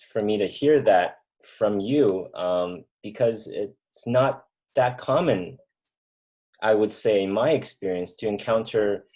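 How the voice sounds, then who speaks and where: A man in his thirties from mainland China hosting a reflective conversation, talking in an earnest, unhurried way and thinking aloud with fillers and restarts. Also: on the low side, darkish tone, poor audio. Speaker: podcast host.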